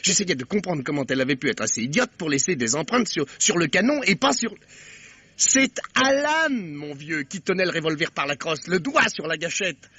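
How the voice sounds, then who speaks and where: An actor playing an excitable, folksy detective sidekick who stammers and repeats himself in a vintage French radio drama. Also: male voice, on the high side, husky, no room sound, muffled recording, exaggerated voice. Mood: puzzled, eager. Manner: halting, stammering repetitions.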